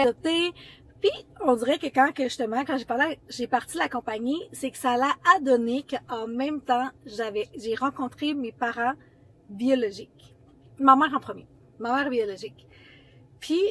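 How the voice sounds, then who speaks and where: A young woman speaking in a Quebec French accent, her voice sitting a little high. She talks casually straight to her viewers, rambling and correcting herself as she goes. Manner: chatty and rambling, frequent fillers and self-corrections. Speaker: founder of a beauty brand. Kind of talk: casual storytime vlog to camera.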